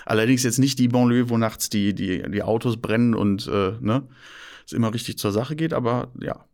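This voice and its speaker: A man's voice: mid-range voice, normal speed, a little mellow. Paris-born guy co-hosting a podcast, medium voice, chatty, lots of äh and restarts. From born near Paris, raised by German parents. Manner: chatty, with many hesitations and fillers. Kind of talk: casual chat.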